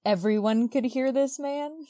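A woman's voice: sits high, unhurried, mellow. A woman co-hosting a podcast, recapping a book casually and acting out dialogue in informal modern slang. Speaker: podcast co-host.

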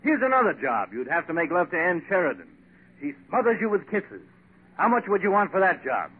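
A man's voice: sits high, very animated, fairly quick, slightly rough, heavily acted. A man playing a polished, insistent and patronizing Hollywood talent agent in a 1940s radio comedy. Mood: businesslike. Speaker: actor. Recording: tinny, hissy old 1940s radio broadcast.

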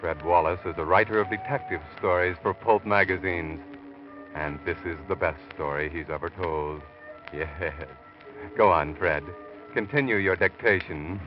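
Creepy old-time radio narrator, really low voice, mocking, music under muffled sound. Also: male voice, measured pace. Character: sinister omniscient male narrator who knows dark secrets. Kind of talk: 1940s radio mystery acting.

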